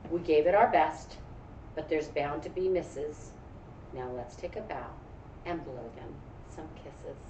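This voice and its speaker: A woman's voice: sits low, unhurried, dark tone. A woman voicing a kind, upbeat male dance partner from a storybook, consoling and encouraging in rhyme. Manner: encouraging, rhyming delivery. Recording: echoey room, distant mic, faint noise.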